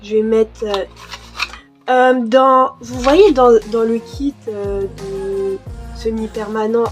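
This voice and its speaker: A woman's voice: a little high, slow pace, faint music behind. A young woman chatting casually in a home vlog, full of hesitations and fillers while she does her nails. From born in Cameroon, raised in France. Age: young adult. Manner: hesitant, many fillers and restarts. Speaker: YouTuber. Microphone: desk mic.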